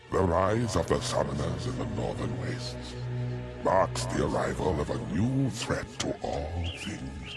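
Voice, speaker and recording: Immensely deep, slow demon door. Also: male voice, full character voice. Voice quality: gravelly, booming. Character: ancient solemn talking demon door, huge and ominous. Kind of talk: fantasy game voice acting.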